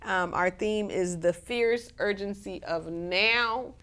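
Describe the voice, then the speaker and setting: A woman with a cold and a scratchy voice, chatting as a guest on a live community TV show, halting now and then with fillers. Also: mid-range voice, a bit bright, clean tone. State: hoarse from a cold. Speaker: guest, community organization president. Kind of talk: casual live TV interview.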